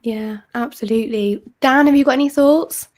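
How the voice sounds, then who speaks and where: A woman's voice: high voice, moderate pace, a little mellow. Enthusiastic woman moderating a panel. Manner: enthusiastic, friendly. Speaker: panel facilitator.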